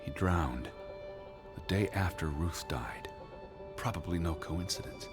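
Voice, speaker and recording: An actor playing an anxious, bookish 1920s gentleman searching for his missing sister in a video game drama, speaking earnestly in precise, formal phrases. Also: low voice, expressive, measured pace, over music. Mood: grave, brooding.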